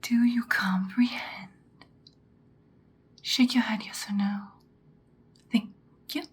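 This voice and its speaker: A woman whispering as an ancient female vampire slayer, seductive and stern, in an ASMR roleplay with slow, deliberate, breathy delivery. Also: a little high, steady tone. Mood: menacing, stern.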